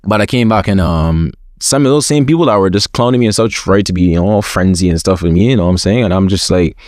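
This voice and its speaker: A man's voice: sits low, quick pace, a little mellow, no room sound, clean studio sound. A young man as an interview guest, chatting openly in slangy talk with many repeated words. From school system with forms. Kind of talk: casual interview chat.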